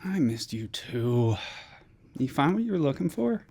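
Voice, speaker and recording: An actor playing a steady, affectionate commander in a sci-fi audio drama. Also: male voice, mid-range voice, a little slow, gravelly, exaggerated voice. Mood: tender.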